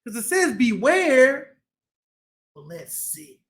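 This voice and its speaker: A man's voice: very high-pitched, big pitch swings, slow pace. A man hosting a read-aloud for young children, playful and exclamatory with a sing-song delivery. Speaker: children's storytime host.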